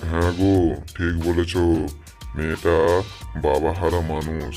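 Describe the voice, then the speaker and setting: A man's voice: deep voice, flat delivery, unhurried, vocal fry, a little mellow, faint music behind. A man voicing a hardworking, pious village father in an amateur cartoon. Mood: earnest.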